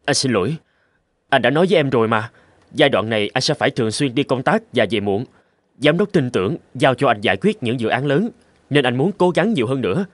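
A man's voice: mid-range voice, animated, a little fast, dry recording, close to an everyday voice. A man voicing an earnest, humble young office worker in a cartoon, polite and a little hesitant. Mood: apologetic, troubled. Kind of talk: cartoon dubbing.

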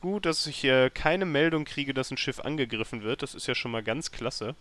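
A man with a mid-pitched voice hosts a let's play. He thinks aloud in a casual way as he plays. His commentary tends toward grumbling and dry sarcasm. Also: lively intonation, normal speed, fairly bright tone. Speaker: let's player hosting a gameplay series.

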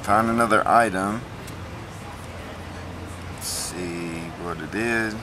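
Guy with a low voice doing a casual flea market vlog, lots of ums, phone cam, faint street and car noise behind. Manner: plain, halting, many ums and restarts. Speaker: flea market reseller vlogger. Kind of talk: casual handheld vlog narration.

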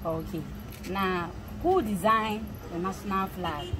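A woman's voice: medium pitch, a little slow, music in the background. A young woman with a Ghanaian accent, casually asking quiz questions as a street interviewer, recorded outdoors on a handheld mic. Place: outdoors beside a busy road. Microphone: handheld mic with furry windscreen. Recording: outdoor roadside with clear traffic noise.

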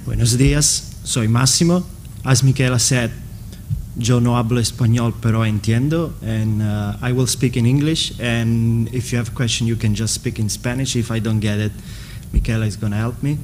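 A man in his thirties, a software developer, introducing himself at a workshop with some fillers, speaking into a table microphone at a conference panel. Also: medium pitch, steady tone, slight background noise. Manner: unhurried, with fillers.

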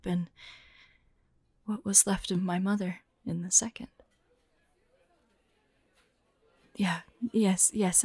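A woman voicing a grieving, vengeful young demon hunter in an audio roleplay, soft and breathy, telling her story haltingly. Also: medium pitch, dry recording. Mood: shaken, halting. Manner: slow, halting, haunted storytelling. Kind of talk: dramatic audio roleplay monologue.

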